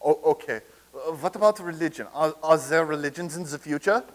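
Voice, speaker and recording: A man's voice: a little high, brisk, close to an everyday voice. A man playing a flustered, stammering soldier. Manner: stammering, nervous restarts. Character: flustered male soldier from a past war. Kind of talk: staged comedy reading.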